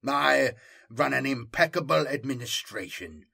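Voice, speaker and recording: Man acting a proud, forceful planetary governor. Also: medium pitch, expressive, unhurried, gravelly, breathy edge, heavily acted. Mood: guarded. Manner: stately, formal, proud. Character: proud, guarded male planetary governor, imperious and formal. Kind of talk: audiobook character dialogue.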